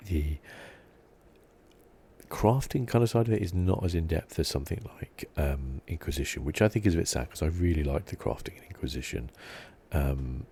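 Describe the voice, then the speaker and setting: A man giving soft, relaxed, musing ASMR commentary as host of a video game playthrough. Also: a bass voice, normal speed, vocal fry, slightly rough. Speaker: gaming channel host.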